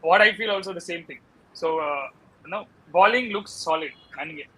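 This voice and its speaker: Casting: South Indian male cricket panelist, Kannada native, high voice, bright tone, casual analysis peppered with fillers. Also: expressive, quick pace, husky, poor audio. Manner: repeats "you know" as filler.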